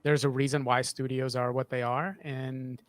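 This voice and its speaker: A man in his thirties, a sound designer, explaining things in a casual, halting way into a close microphone in a home studio. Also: mid-range voice, little pitch movement. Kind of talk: casual explanatory interview talk. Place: home studio with acoustic panels. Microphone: close microphone on boom arm.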